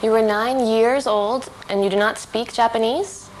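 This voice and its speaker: A woman's voice: on the high side, steady tone, measured pace, slight background noise, poor audio. A woman reading the questioner's part in a scripted practice dialogue, curious and questioning. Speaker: dialogue reader asking the questions.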